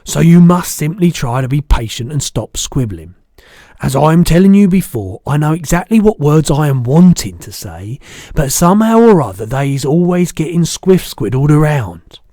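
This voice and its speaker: A man voicing a big, soft-hearted, proud giant in a children's storybook, booming and spluttering with mangled rustic grammar. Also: very animated, normal speed, no room sound, clean studio sound. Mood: wistful, insistent. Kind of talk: animated children's storybook reading.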